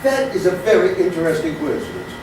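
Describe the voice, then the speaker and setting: Male actor as gentle eccentric gentleman barfly, slightly high voice, genteel stage storytelling, very reverberant distant pickup. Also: lively intonation, quick pace. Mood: fond, amused. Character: gentle, courtly, dreamy male barfly with an imaginary rabbit friend. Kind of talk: theatrical comedy monologue. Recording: distant, very echoey, noisy stage recording.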